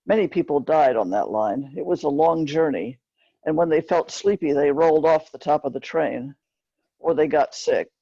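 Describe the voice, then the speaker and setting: Husky woman reading a story aloud. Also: sits low, moderate pace, dark tone. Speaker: author reading from a book. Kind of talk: scripted narration, book reading. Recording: dull, roomy lo-fi recording.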